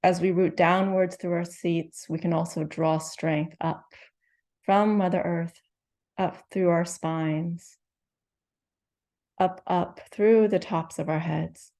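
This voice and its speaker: Woman guiding a meditation, slow gentle voice, gravelly and mellow. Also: fairly low pitch, steady tone. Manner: slow, gentle, soothing instructions with long pauses. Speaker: meditation teacher. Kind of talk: guided meditation.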